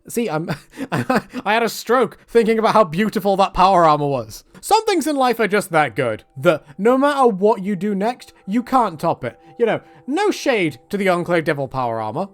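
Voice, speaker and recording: A man's voice: high-pitched, sing-song, moderate pace. A man giving casual, joking commentary on video games in a rambling, self-deprecating, tongue-in-cheek way. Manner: swears casually.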